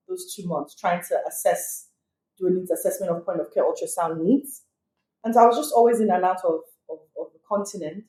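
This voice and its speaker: A middle-aged woman talks through her career in a relaxed, conversational way, with light laughs and fillers. Her voice is mellow and warm, and the room gives it a clear echo. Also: fairly low pitch. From Nigeria. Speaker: emergency physician, guest. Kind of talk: casual interview answers. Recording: echoey room.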